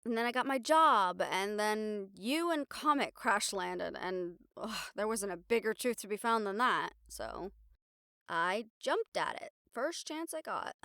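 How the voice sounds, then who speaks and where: A woman's voice: medium pitch, expressive, unhurried, fairly bright tone, smooth, dry recording, studio quality. A woman playing a wry, daring young reporter in an intimate audio roleplay, talking to her partner in a sardonic, sighing, casually sweary way. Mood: rueful, reflective. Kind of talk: intimate audio roleplay acting, conversational monologue to the listener.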